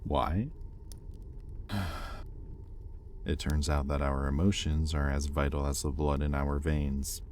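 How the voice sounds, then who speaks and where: A man's voice: rumbling low, flat delivery, slow, pauses often, slight background noise. A man playing a bitter, conflicted demon in a roleplay audio drama, speaking to his captive with brooding intensity. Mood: bitter confession. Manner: brooding, intense, swinging between hushed confession and heated accusation. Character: bitter, conflicted male demon, possessive owner of a captive angel. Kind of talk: scripted roleplay audio acting, addressed to the listener.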